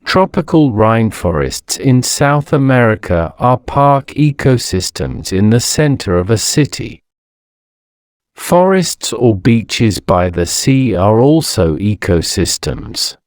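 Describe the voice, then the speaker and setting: A man reading scripted educational narration in a measured, deliberate way, enunciating each phrase with pauses. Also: lowish voice, very animated, smooth, no room sound, studio quality. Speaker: narrator of an English-learning audio story.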